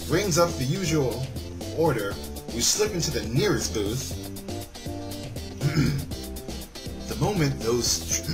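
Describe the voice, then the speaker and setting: A man reading a visual novel aloud and commenting casually, often stumbling over lines and correcting himself. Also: mid-range voice. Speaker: video game let's-play host. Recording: music playing behind the voice. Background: game background music.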